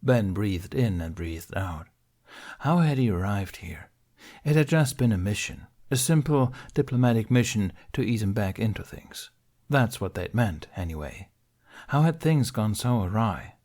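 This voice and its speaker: A man narrating dramatic fiction as an audiobook reader, in a measured, solemn manner. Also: fairly low pitch, expressive, a little mellow.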